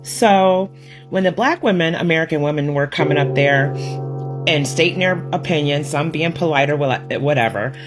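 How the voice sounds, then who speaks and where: A woman's voice: low-pitched, moderate pace. A woman telling a story in a chatty, amused way and laughing between her remarks. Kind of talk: casual storytelling. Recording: guitar music under the voice. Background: music playing.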